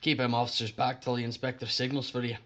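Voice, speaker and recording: A man's voice: mid-range voice, level tone, fast talker, fairly bright tone, natural voice. An actor playing a cheeky, loyal working man of the underground in an audio drama, plain-spoken and wry. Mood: brisk. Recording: noisy recording with ambience behind.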